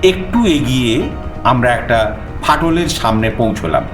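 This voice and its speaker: With echo and music around him, an actor plays an eager male adventurer telling a suspense tale. His delivery is highly animated and expressive, built for audio drama. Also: normal speed, lightly acted. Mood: suspenseful, excited. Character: male first-person narrator, adventurous, eager explorer visiting Paris. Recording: echoing voice over background music.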